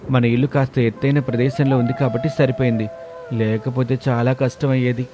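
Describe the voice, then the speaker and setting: A man's voice: medium pitch, level tone, brisk, background noise, natural voice. A man voicing an exasperated, easygoing adult son and father in a family story. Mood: alarmed.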